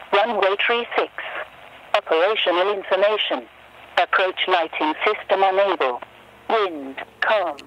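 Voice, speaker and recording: A man's voice: high-pitched, lo-fi sound. A man reading a formulaic airport information broadcast over aviation radio in clipped, separate phrases. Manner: clipped, segmented, repetitive delivery. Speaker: automated airport information announcer. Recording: aviation radio with faint noise.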